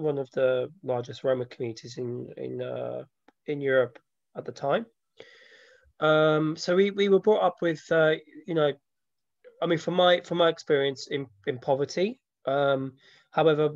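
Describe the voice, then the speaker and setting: A dry, slightly muffled recording of a man. He talks about himself informally, as an interview guest. His delivery is reflective and halting, at a middling pitch. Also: no room sound. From born in Slovakia, grew up from age seven in London. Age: 30s. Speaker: interviewee, film maker and photographer. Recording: dull lo-fi audio, dry.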